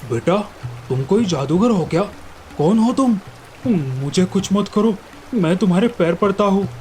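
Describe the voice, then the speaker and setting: A man voicing a grumpy, lazy young village fisherman with a blustering, exclamatory delivery in an animated fairy tale. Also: high-pitched, measured pace, soft background music. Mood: amazed, alarmed.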